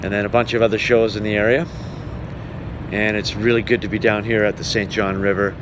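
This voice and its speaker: A man's voice: on the low side, flat delivery. A man talking casually and conversationally to viewers, with wry understatement, as he records a travel vlog outdoors. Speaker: vlogging touring musician. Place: outdoors beside river falls. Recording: outdoor noise, traffic and rushing falls.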